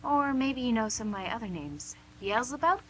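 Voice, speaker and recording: A woman's voice: fairly high pitch, animated, moderate pace, lightly acted. An actress playing the Devil as a playful, charming, smug female boss in a comic radio play, chatty and theatrical. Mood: playful, vain. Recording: noisy amateur recording with hum.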